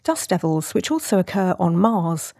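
Mellow, husky British woman reading. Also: on the low side, very animated, moderate pace, no room sound, studio quality. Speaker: nature writer and podcast host. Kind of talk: reading a nature essay.

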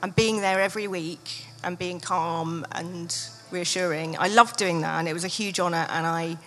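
A woman's voice: lowish voice, steady tone, moderate pace, fairly bright tone, faint music behind. Reflective woman in candid conversation. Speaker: author and podcaster being interviewed on stage. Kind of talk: candid unscripted live conversation. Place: live festival stage.

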